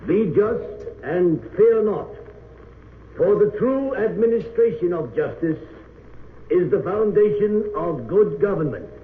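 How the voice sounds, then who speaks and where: Solemn high-pitched court officer. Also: male voice, animated, slow. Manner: declamatory, formal reading. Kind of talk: 1930s-40s radio drama acting. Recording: noisy narrow-band old radio recording.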